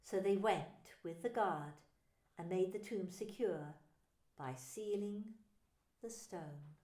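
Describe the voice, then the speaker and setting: A woman, a vicar, reading a Bible story aloud in a calm, measured way. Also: medium pitch. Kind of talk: dramatised Bible reading narration. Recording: roomy echo.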